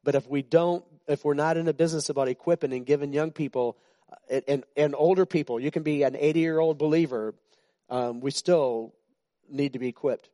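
A pastor in his sixties preaches in a loose, conversational way, joking with his flock and stumbling over restarts. His voice sits a little high for a man. The sound is dry and close, with almost no room echo. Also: fairly even intonation, a little fast, mellow. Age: 60s. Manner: chatty and joking, with frequent restarts. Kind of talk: informal sermon to a congregation. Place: church.

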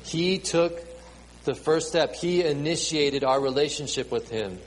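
A man in his late thirties delivers a sermon at an unhurried, deliberate pace, often stumbling over and repeating his words. His mid-pitched voice rings out in a hall with a long reverb tail, and a faint ambient hiss sits underneath. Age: 30s. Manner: halting, repeats words and fills with ums. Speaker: pastor. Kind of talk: sermon to a congregation with children.

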